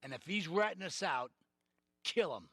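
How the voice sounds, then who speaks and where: A man's voice: on the high side, animated, moderate pace, a bit bright, breathy edge, no room sound, studio quality. A man playing a calculating, commanding middle-aged mafia boss giving orders in a video game cutscene. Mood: stern, suspicious.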